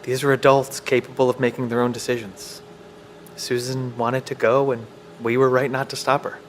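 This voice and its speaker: Male actor as protective techie survivor, earnest, medium pitch, audio drama, slight background noise. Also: level tone, normal speed, natural voice. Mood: earnest, resolute.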